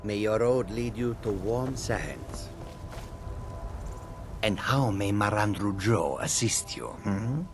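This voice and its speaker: A very slow, deepish cat-folk trader from a fantasy game. He speaks with formal courtesy and keeps a questioning, suspicious edge. Faint music plays behind him. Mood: courteous. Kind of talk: video game character dialogue.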